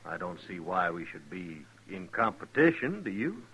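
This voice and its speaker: An actor playing a slick, self-righteous frontier doctor in a 1950s radio western, husky and easily indignant. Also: male voice, low-pitched, big pitch swings, normal speed, fairly bright tone, heavily acted. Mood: smooth, self-justifying. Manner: smooth-talking, indignant, huffy. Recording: dull old 1950s radio recording.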